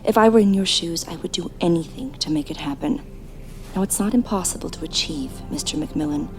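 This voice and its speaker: A woman playing a composed, formal hospital doctor in an audio drama, measured and earnest. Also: lowish voice, over music, natural voice. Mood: measured, persuasive. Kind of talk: dramatic audio play acting.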